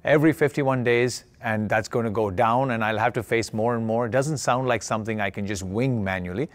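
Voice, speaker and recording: A man's voice: mid-range voice, brisk, no room sound. A man in his forties presenting a keynote in a conversational, explanatory way, often checking in with a short tag question. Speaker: keynote speaker, tech executive.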